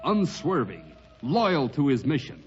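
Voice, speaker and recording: Vintage adventure show announcer, high dramatic voice, stirring delivery, muffled old recording. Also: male voice, huge pitch swings. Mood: stirring, heroic. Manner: slow, declamatory. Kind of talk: 1960s radio show introduction, dramatic announcing. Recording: dull old radio recording. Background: loud music.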